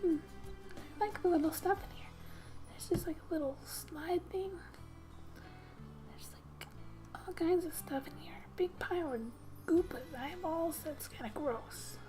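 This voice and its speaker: A soft-spoken woman reading game text aloud in a gentle, hushed ASMR style with musing asides, often slipping into a whisper. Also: very high voice, measured pace, long pauses, soft background music. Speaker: gaming ASMR host.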